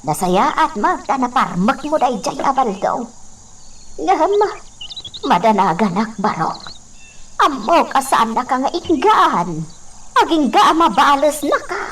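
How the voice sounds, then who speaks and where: A woman's voice: high voice, sing-song, a little slow, a bit bright, heavily acted. A woman playing a concerned, caring elderly grandmother in an Ilocano radio drama, recorded slightly off-mic. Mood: worried. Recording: faintly noisy, distant microphone.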